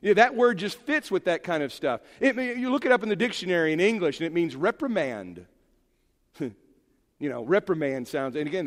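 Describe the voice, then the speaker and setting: High-voiced male pastor, lively emphatic preaching, long church echo. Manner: animated, emphatic preaching with sudden drops to quiet. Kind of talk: sermon. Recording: long hall reverb.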